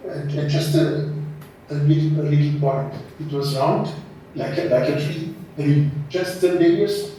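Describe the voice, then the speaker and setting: A man from West Austria with an Austrian German accent, a German speaker recalling past exhibitions in halting English full of repeats and restarts, in a large exhibition space. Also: on the high side, steady tone, mellow, slight background noise. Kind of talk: casual recollection on a guided tour. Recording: roomy hall, speaker far from mic.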